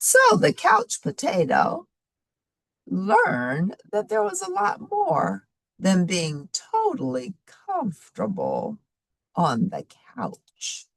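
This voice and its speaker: A woman storybook reader speaks to young listeners in an expressive, explaining way. Her voice is husky and swings widely in pitch, at an unhurried pace. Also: no echo. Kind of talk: expressive children's read-aloud.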